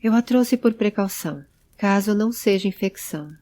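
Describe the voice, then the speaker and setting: A woman voicing a serious, pensive male adventurer who keeps calm and gives instructions in an audio drama. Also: medium pitch, moderate pace, close to an everyday voice. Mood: concerned. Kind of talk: audio drama character acting.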